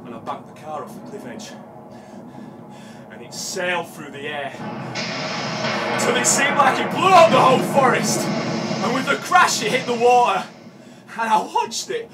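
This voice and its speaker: An actor with a British accent playing a haunted, obsessive man confessing to a killing in a halting, repetitive live horror monologue that swings between hush and shouting. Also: extremely high pitch, big pitch swings, full character voice. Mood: hushed, shaken, then awed, intense. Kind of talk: live dramatic monologue of horror audio drama. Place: small dim café stage before seated audience. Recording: distant live room mic, music behind.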